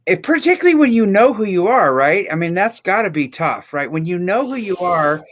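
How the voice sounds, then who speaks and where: A man's voice: high voice, very animated, brisk, a bit bright. A man co-hosting a podcast in casual, reflective conversation, earnest and given to repeating words for emphasis. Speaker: podcast co-host.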